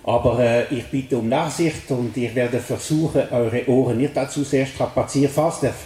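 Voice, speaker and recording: Swiss french guy speaking german, earnest and halting, dark mellow voice, echoey hall. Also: medium pitch. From French-speaking Switzerland. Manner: earnest, halting with many fillers. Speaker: guest speaker.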